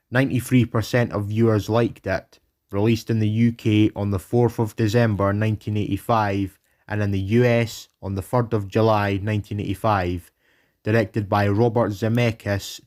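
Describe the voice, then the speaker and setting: A man's voice: on the low side, darkish tone. A man from Britain narrating his own fan cartoon, rambling and reeling off film facts. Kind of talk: casual narration and commentary.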